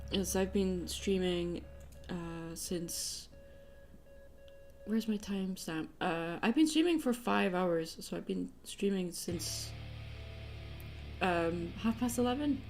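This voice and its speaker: Low-pitched woman chatting casually over music. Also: takes it slowly, darkish tone. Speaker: livestream host and artist taking commissions. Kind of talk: casual livestream chat. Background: guitar music playlist.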